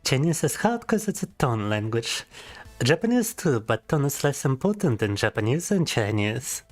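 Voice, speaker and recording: A young man chats on his livestream, playful and teasing as he answers chat. His voice sits at an ordinary middle pitch. Faint music plays quietly underneath him. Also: sing-song, normal speed. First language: Russian. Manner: playful and teasing, reads chat aloud and laughs often. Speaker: VTuber streamer.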